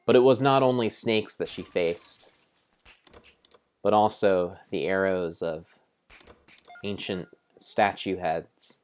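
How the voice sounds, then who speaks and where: A man giving an improvised dramatic narration of a game like an adventure storybook, with deliberate, drawn-out phrasing. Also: low voice, darkish tone.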